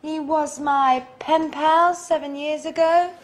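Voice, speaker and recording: An actress, playing a bubbly, flirtatious flatmate in a slow, exaggerated sitcom for English learners. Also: female voice, sits high, a little hiss or hum. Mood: reading aloud. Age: 20s. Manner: theatrical, deliberate, clearly enunciated. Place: indoor studio apartment set.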